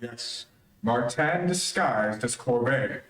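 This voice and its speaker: An actor playing a calm, knowing, commanding invisible crime fighter in an old-time radio melodrama. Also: male voice, mid-range voice, takes it slowly. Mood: calm, grave. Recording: echoey room.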